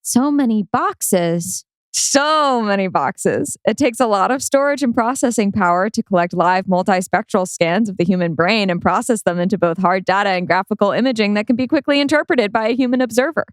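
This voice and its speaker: A woman playing a warm, playful wife who is a brain researcher, in a script read-through. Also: mid-range voice, normal speed, no echo, clean studio sound, lightly acted. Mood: bright, playful. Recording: music playing behind.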